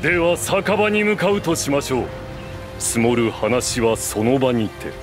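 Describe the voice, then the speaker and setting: An actor playing a grave, honourable bearded warrior in a video game, with solemn, archaic formal speech. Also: male voice, mid-range voice, big pitch swings, measured pace, music in the background. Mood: grave, respectful. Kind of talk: video game cutscene voice acting.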